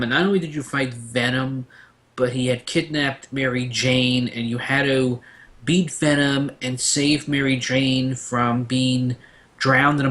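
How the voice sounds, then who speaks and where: A man's voice: medium pitch, a bit bright. A man on a discussion panel, recounting things casually and enthusiastically.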